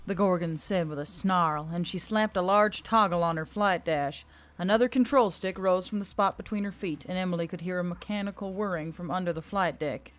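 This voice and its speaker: A woman's voice: on the low side, fairly quick. A woman reading scripted fiction as a story narrator.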